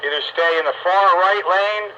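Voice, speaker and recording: A man with a fairly high, forceful voice hosts a casual radio chat. He talks in a folksy, grumbling way, over a narrow radio channel with some background noise. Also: moderate pace. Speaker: host of a radio roundup net. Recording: noisy, band-limited two-way radio audio.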